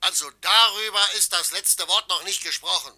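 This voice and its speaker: A combative factory foreman in a radio drama. His voice is high for a man and extremely sharp and forceful. He protests bluntly and indignantly. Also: moderate pace, exaggerated voice. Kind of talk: German radio play acting.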